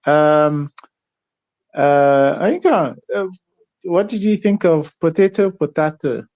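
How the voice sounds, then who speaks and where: A man's voice: a little high, expressive, slow, darkish tone. Man moderating a film chat. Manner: friendly, hesitant, many fillers. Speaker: podcast moderator. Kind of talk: casual podcast discussion.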